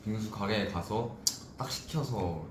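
A young man chatting on a livestream with bandmates, in a relaxed, low-key way. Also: unhurried, noisy background. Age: young adult. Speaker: idol group member on livestream. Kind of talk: casual livestream chat. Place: small indoor room at a table. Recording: roomy room echo.

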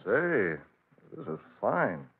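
An actor playing a curious, hearty visiting gentleman in an old-time radio drama, with a genteel, exclamatory manner. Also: fairly low pitch, very animated, drawn-out words, breathy edge, full character voice. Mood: genial, curious. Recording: muffled narrowband old radio recording.

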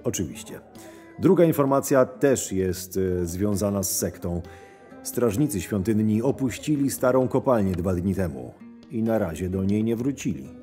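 A man acts as a scheming, cynical henchman telling his story in an audio drama. His voice is deep and mellow, his delivery measured and sardonic. Music plays softly underneath. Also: animated, lightly acted. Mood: businesslike, deferential. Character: cynical, scheming male camp henchman recounting his days. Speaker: narrator and lead actor of an audio drama. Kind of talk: first-person scripted narration. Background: background music.

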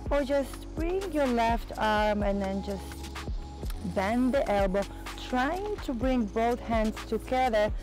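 A woman teaching yoga, giving slow, soft step-by-step cues on breath and stretching, with a voice. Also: a little high. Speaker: yoga instructor. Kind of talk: guided yoga instruction. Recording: voice over loud music.

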